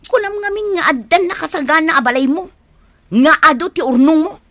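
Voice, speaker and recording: Casting: whiny young Filipino wife, very high piercing voice, tearful, radio drama. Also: female voice, fairly quick, bright, forceful tone. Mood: nagging. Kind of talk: Ilocano radio drama acting.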